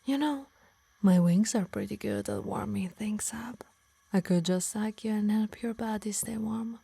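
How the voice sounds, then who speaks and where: A woman voicing a possessive, doting yet menacing harpy in an intimate ASMR roleplay, teasing and coaxing softly, often in whispers. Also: mid-range voice, a little slow, dry recording, heavily acted. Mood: teasing, hurt. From Italy. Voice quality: breathy, soft. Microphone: close, dry microphone.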